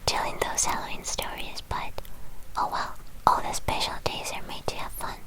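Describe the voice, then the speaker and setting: A woman whispering in a breathy voice close to the microphone, telling a roleplay story for ASMR in a halting, playful way. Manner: slow, halting, playful delivery with soft pauses. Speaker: ASMR performer.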